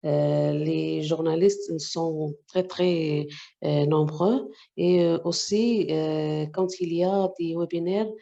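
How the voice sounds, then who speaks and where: A woman journalist whose first language is Arabic, speaking haltingly with many hesitations in a webinar discussion over a video call. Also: low voice, steady tone, creaky, dark tone, highs cut off. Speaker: journalist, section editor.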